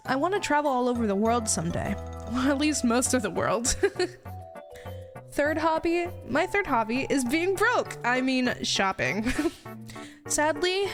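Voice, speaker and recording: A young American woman with a fairly high voice chats casually over clearly audible music. She is a native Spanish speaker in her twenties, with a playful, self-deprecating way of introducing herself to viewers. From Ohio, United States. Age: 20s. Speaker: VTuber streamer introducing herself.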